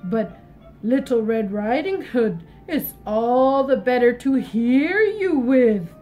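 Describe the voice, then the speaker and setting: A woman voicing a sly, hungry big bad wolf in a storybook, cooing slowly as a frail, sweet grandma. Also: a little high, expressive, dull audio, soft background music, voice pushed down.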